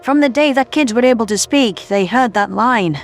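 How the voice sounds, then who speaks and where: Scientist role, female actor: slightly high-voiced woman, earnest, sober explainer, light music underneath. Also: normal speed, lightly acted. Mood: sober, sympathetic.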